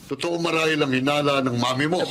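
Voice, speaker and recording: A man's voice: a little high, fairly even intonation, fast, background noise, close to an everyday voice. An actor playing a stern, callous middle-aged moneylender father in a radio drama, indignant and accusing.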